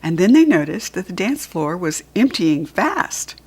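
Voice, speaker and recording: A woman reading a children's storybook aloud, clearly and expressively. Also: lowish voice, sing-song, measured pace, darkish tone. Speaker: storybook reader.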